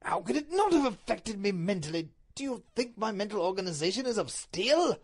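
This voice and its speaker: A woman's voice: mid-range voice, very animated, brisk, a little mellow, breathy edge, voice pushed up. A woman voicing a bewildered, indignant but doting duke in a storybook reading, with a pompous, emphatic, melodramatic delivery. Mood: aggrieved.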